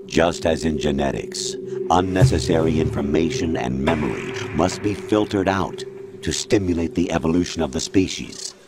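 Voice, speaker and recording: An actor playing a cold, condescending male machine intelligence in a video game, lecturing in a measured way. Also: a bass voice, very noisy. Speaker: game character. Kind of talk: video game cutscene voice acting. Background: loud dramatic music score.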